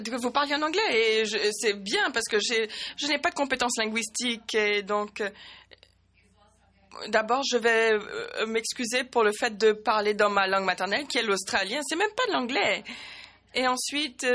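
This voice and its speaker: Halting woman interpreting at a meeting. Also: fairly high pitch, fairly bright tone. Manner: halting, frequent restarts and fillers. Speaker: conference interpreter.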